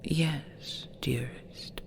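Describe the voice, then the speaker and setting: A woman's voice: low-pitched, steady tone, extremely slow, exaggerated voice. A woman playing an ancient, possessive and tender she-dragon in an intimate audio roleplay, whispering soothingly with formal, old-fashioned phrasing. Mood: coaxing, seductive. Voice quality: breathy, creaky whisper.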